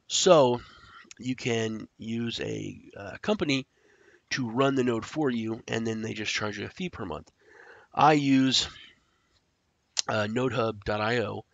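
A man who is sick and stuffed up, casually walking through a screen-share tutorial, rambling with fillers and clearing his throat. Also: mid-range voice, a bit bright, no room sound. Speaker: crypto tutorial host. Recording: dry, dull lo-fi audio.

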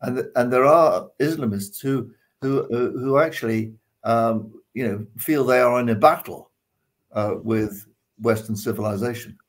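An older man with a British accent, speaking haltingly as a panelist in a casual discussion on a video call. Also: medium pitch. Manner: hesitant, halting delivery. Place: room at home.

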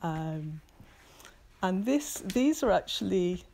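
Slow, gravelly woman milliner. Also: fairly low pitch, expressive, mellow. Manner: hesitant, many ums, practical and understated. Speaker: milliner giving a studio tour. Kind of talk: casual talk while showing hats. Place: small hat workshop indoors, bright window light. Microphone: phone camera held by speaker.

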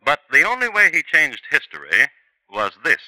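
Wry, lively man narrating old radio crime. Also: on the high side, normal speed, dry recording, low-quality recording. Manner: dry, wry storytelling. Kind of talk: scripted 1950s radio narration.

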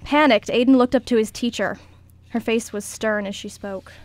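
Woman narrator with a fairly high voice. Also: fairly even intonation, moderate pace, dry recording. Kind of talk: scripted radio play narration.

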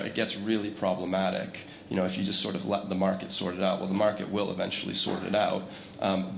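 Man, panel speaker, very low flat gravelly voice, hesitant filler-heavy delivery, echoey hall. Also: a little hiss or hum. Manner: measured, many fillers, restarts. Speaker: startup CEO panelist. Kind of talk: conference panel discussion. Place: large conference room with audience. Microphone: handheld mic. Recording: echoey hall, faint ambience.

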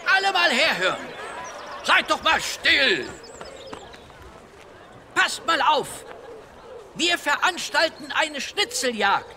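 A strikingly high, bright male villager from a children's radio play. He sounds resourceful and sure of himself, with faint noise behind him. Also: very animated, takes it slowly, pauses often, some chatter behind, heavily acted. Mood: rallying. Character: resourceful, confident male villager rallying everyone. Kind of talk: children's audio drama acting.

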